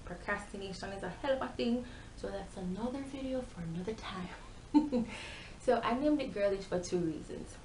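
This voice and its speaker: A woman's voice: mid-range voice, moderate pace, darkish tone. A young adult woman with a Jamaican accent, chatting casually and animatedly to camera as a vlogger. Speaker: YouTube vlogger. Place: small bare-walled room indoors. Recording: echoey room with background hiss.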